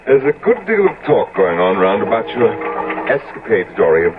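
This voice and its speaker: An actor playing an older, genial London portrait painter in a 1970s radio drama, effusive and earnest. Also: male voice, on the low side, big pitch swings, moderate pace. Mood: delighted, fond. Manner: effusive, exclamatory. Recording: noisy, narrow-band old radio recording.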